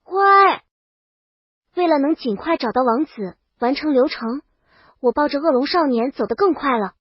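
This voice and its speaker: High-pitched female storyteller, comic scripted narration, dry but dull lo-fi recording. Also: a little fast, no room sound. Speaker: audiobook narrator.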